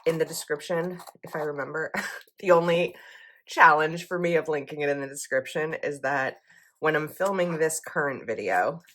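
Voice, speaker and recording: Young woman vlogger, low voice, slightly froggy, rambling and casual. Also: moderate pace, fairly bright tone, slight background noise. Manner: chatty, rambling with likes and ums. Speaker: planner vlogger. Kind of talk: casual chat while decorating a planner.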